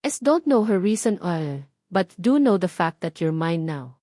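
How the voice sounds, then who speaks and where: A woman with a Filipino accent voicing a serious, soft-spoken, possessive billionaire man in an audiobook romance, earnest and switching between two languages. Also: lowish voice, big pitch swings, unhurried, no room sound, clean studio sound.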